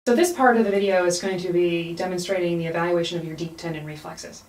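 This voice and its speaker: A woman's voice: lowish voice, fast, a little mellow. A woman giving an explanatory hands-on clinical demonstration as an instructor, repeating key points. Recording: echoey room, somewhat distant mic.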